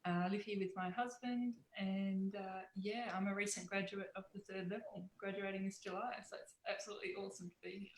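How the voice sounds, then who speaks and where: A woman's voice: medium pitch, steady tone, a little fast, few pauses, echoey room, slight background noise, rough recording. A woman from Western Australia, a guest on a live video call from home, enthusiastic and gushing. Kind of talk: casual spiritual chat on a live video call.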